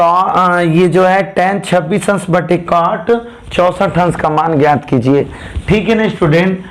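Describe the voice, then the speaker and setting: A man teaching a math class with a hoarse voice, speaking with a tinge and coaxing students through each step. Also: a little high. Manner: coaxing, repeats steps and checks understanding.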